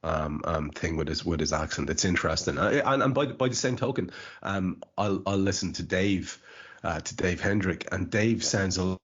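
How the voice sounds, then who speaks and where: A deep-voiced man with a mild Irish lilt hosts a relaxed podcast chat, tripping over repeated words as he goes. His tone is low and a little husky, and the audio comes through dull and lo-fi. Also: normal speed. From Ireland. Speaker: podcast host. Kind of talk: casual chat.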